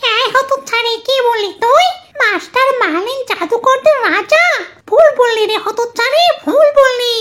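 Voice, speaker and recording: Shrill, boastful witch ghost. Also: moderate pace, background noise. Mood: menacing, scornful. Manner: boastful, scornful. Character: wicked boastful witch ghost, menacing and quick-tempered. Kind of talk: Bengali cartoon voice acting.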